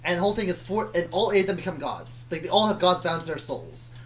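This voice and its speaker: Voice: mid-range voice, expressive, quick pace, slight background noise. A person telling stories about their own fictional universe in a casual, rambling, hesitant way, often correcting themselves. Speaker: storyteller.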